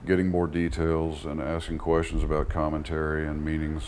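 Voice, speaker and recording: A man's voice: extremely low pitch, completely flat delivery, moderate pace, a little mellow. A man speaking as an interview guest in a reflective way, thoughtful and hesitant, searching for his words. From United States, Texas. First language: English. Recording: audible background noise.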